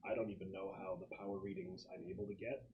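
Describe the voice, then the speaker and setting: A man's voice: sits low, level tone, fast. A man narrating a tabletop game casually as game master, slipping in and out of character and swearing now and then. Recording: muffled, hissy room recording with clicks.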